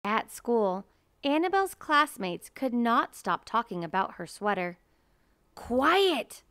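Female picture-book narrator: unhurried, deliberate, smooth clear voice, close dry sound. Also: fairly high pitch, very animated, a bit bright, no echo. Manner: measured, deliberate storytelling. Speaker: storybook reader. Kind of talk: children's picture book read-aloud.

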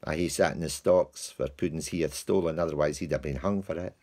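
An actor playing a comic lowly male servant, telling a broad Scottish-dialect tale of his dog with mock indignation. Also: brisk, a little mellow. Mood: indignant. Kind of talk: theatrical monologue.

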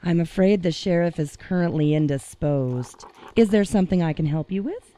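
Wry, mellow older woman deputy, acted by a woman. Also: on the low side, normal speed, close to an everyday voice. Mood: wry, calm. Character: wry, composed woman deputy in her early sixties. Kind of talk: audio drama acting.

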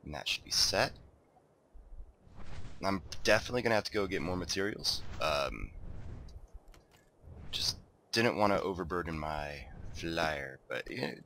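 A man's voice: low-pitched, measured pace, pauses often, a little hiss or hum. A man casually commenting while he plays and builds in a game, sounding a little congested and stuffed-up. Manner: relaxed, chatty, talks to himself while building. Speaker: gaming video host.

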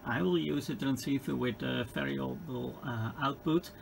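Male hobbyist demonstrator, Dutch accent, heavy vocal fry, hesitant off-the-cuff delivery, noisy recording. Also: medium pitch. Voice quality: gravelly. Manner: halting, hesitant phrasing with repeated words. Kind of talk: unscripted equipment demonstration. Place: indoor electronics workbench. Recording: clearly noisy room recording.

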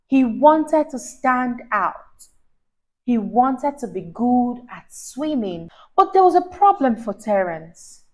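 A young woman hosts a children's story time in a high voice that rises and falls freely. She reads slowly with deliberate, teacher-like emphasis and puts questions to the young listener. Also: very animated, a little mellow. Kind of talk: animated read-aloud to a child. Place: small indoor room, on a sofa. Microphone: clip-on lapel mic.

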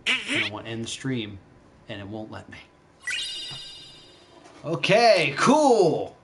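A man's voice: fairly low pitch, wildly swooping pitch, brisk, background noise. A man streaming a game, giving casual live reactions.

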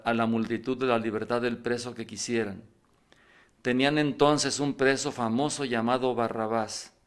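Older priest reading the passion at a lectern, mid pitch, mic on a stand, gets louder for the quotes. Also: male voice. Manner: reads aloud, raising pitch and volume for quoted voices. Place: church, indoors at a lectern. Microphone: stand mic in front.

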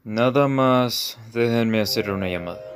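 A woman voicing a composed, awkward spy father with a measured, dry delivery and a Latin American Spanish accent, in an anime fan dub. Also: a bass voice, soft background music. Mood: businesslike.

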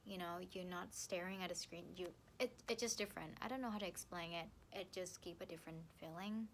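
A woman's voice: lowish voice, brisk, slight background noise, low-quality recording. Chatty Thai-accented young woman vlogging. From Thailand. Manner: chatty, playful, animated hand gestures. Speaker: book vlogger. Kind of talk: casual vlog to camera. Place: living room, seated on a sofa. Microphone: camera in front.

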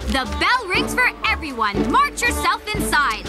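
A woman's voice: very high voice, very animated, a little slow, exaggerated voice. A woman playing a bossy young witch girl acting as a strict teacher in a cartoon, commanding and theatrical. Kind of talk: animated cartoon dub acting. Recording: loud music under the voice.

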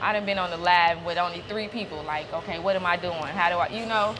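A giggly, easygoing woman talks casually as a guest, laughing often between loose, slangy remarks. Music plays clearly underneath. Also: lowish voice, fairly even intonation, fast talker, poor audio. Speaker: guest, gym partner. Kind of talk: casual chat.

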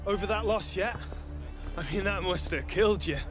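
Guy playing cocky young english football lad in a game, high voice, chatty and casual, music behind. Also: moderate pace, close to an everyday voice. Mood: sarcastic. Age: 20s. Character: cheeky, cocky young male footballer, good-natured underneath. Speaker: professional footballer. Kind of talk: video game cutscene acting.

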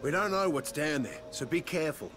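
A man's voice: fairly high pitch, fairly quick, lightly acted. An actor playing an earnest, cautious male survivor in a video game. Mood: concerned. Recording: game audio under loud music.

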